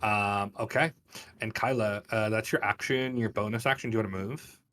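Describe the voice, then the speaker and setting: A man's voice: lowish voice, fairly even intonation, normal speed, a bit bright, far from the mic. A man acting as game master, casually narrating players' actions and scenes in a tabletop game.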